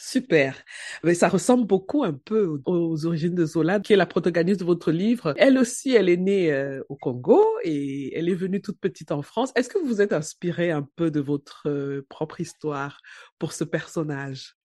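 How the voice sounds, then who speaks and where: A woman's voice: fairly low pitch, lively intonation, moderate pace, dark tone, dry recording, studio quality. A woman interviewer asking polite, formal questions.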